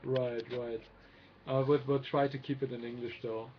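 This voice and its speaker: A man's voice: mid-range voice, flat delivery, moderate pace, slight background noise. A man hosting a casual livestream chat, friendly and hesitant, with lots of fillers. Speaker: host of a celebration livestream. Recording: dull lo-fi stream audio with faint music.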